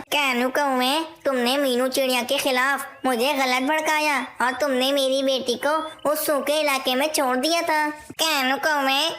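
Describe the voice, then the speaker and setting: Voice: sits high, pushed and bright. A performer voicing a talking bird in a children's cartoon tale, speaking in simple storybook dialogue.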